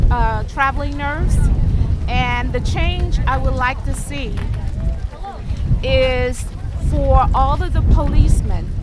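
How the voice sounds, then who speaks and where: A middle-aged woman from Detroit, a traveling nurse, speaking earnestly in a street interview at a protest. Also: high-pitched. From Detroit, Michigan. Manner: earnest, measured.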